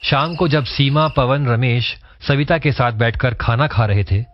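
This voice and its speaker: A man's voice: medium pitch, a little slow, highs cut off. A man reading scripted storytelling narration for a cartoon moral tale.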